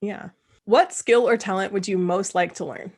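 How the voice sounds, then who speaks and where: A woman hosting a casual interview, talking in a chatty way with quick asides. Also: mid-range voice, a little mellow. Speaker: host. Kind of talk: casual video-call chat.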